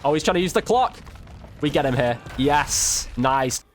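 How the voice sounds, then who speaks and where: A man's voice: on the high side, animated, normal speed, a little hiss or hum. A man commentating casually over gameplay as a content creator, chatting informally and thinking aloud.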